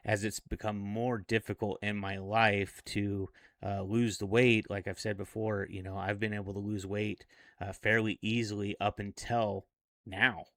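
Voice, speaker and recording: A man of forty speaking in a casual, reflective vlog monologue, earnest and a little halting. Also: on the low side, little pitch movement, a bit bright, no room sound, studio quality. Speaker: self-improvement vlogger.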